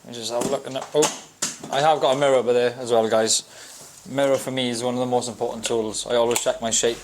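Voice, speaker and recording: Welsh man, barber, casual step-by-step talk, barbershop, loud hiss in the background. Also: mid-range voice, fairly even intonation, quick pace. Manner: casual, talks through his work step by step. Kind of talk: hairstyling tutorial. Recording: loud hiss and spray noise.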